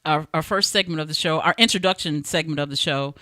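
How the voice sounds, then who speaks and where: Bright, clear-voiced woman hosting a live show. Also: low voice, quick pace, dry recording. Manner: chatty, informal. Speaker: talk show host. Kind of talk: casual live show hosting with shout-outs.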